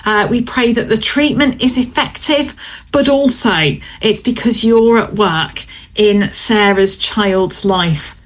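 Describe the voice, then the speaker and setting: A woman leading a spoken prayer in a steady, earnest, measured way. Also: mid-range voice, rough recording. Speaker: prayer leader.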